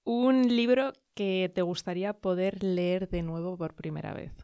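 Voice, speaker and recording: A woman with a Spanish accent hosts the conversation, reading out short prompt questions in an encouraging manner. Her voice is slightly low for a woman. The recording is dry and close, with no echo. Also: fairly even intonation, a little slow, dark tone, clean tone. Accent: Spain Spanish. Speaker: podcast host. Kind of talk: interview questions and short backchannels.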